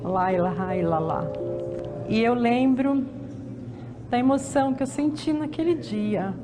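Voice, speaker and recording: A woman's voice: a little high, a little slow, over music. A woman in her fifties telling her story earnestly as an interviewee. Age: 50s. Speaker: interviewee, convert. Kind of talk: recorded testimony.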